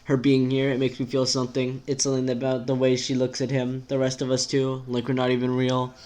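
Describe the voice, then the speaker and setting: A man's voice: medium pitch, monotone, brisk, a little mellow, close to an everyday voice. Man playing a brash, sarcastic teenage girl. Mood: reluctant honesty. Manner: biting, emphatic, sweary. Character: brash, vain, sarcastic teenage girl, image-obsessed and irritable.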